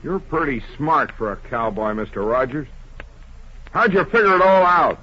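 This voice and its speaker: A man's voice: a little high, animated, moderate pace, sharp tone, slightly rough, heavily acted. A man playing a cornered, blustering crooked bank man in an old radio western, melodramatic and menacing. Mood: grudging. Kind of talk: 1940s-50s radio western acting. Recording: noisy, muffled old radio broadcast.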